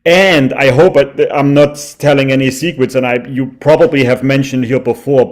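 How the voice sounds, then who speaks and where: A man whose first language is German speaks on a video call at a middle pitch. He is grateful and rambling, and his speech is full of fillers as he thanks the host. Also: a little mellow. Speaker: open mic participant. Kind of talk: casual remarks on a video call.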